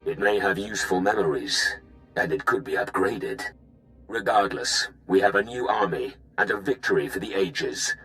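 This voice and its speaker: An actor playing a haughty, menacing Imperial Sith in a video game, formal and grandiose. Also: male voice, low-pitched, lively intonation, moderate pace, fairly bright tone, soft background music, low-quality recording. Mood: proud. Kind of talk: dramatic video game acting.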